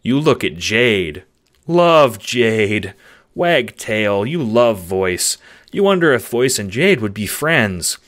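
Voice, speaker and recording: Man playing an excitable, simple-minded dog, swooping pitch. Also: takes it slowly, full character voice. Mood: loving, giddy. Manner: broken baby-talk sentences, thinking aloud with barks.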